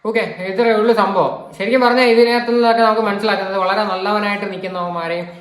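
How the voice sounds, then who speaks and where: A woman's voice: mid-range voice, fairly even intonation, fast. A woman with a Kerala accent giving casual reaction commentary as a video commentator. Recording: roomy, echoing indoor sound.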